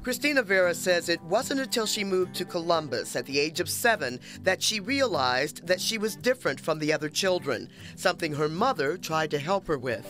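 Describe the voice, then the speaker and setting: A woman's voice: fairly low pitch, animated, fairly bright tone, faint music behind. Woman hosting a local news feature. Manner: measured, inviting questions to viewers. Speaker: TV host. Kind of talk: scripted news narration.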